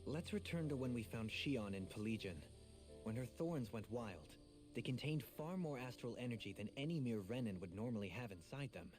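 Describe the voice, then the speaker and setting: An actor playing a composed, scholarly young nobleman in an anime video game, speaking in a measured, formal, eloquent way. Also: mid-range voice, lively intonation, darkish tone, lightly acted. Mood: grave, pondering. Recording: game music behind the voice.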